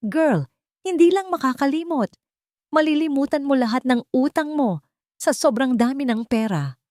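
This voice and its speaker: A woman's voice: high voice, animated, moderate pace, darkish tone, no echo, clean studio sound, pitched above the performer's own voice. Woman voicing a bubbly, gushing young best friend. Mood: gleeful. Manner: slangy, gushing, mixes English into Tagalog. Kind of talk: audio drama storytelling.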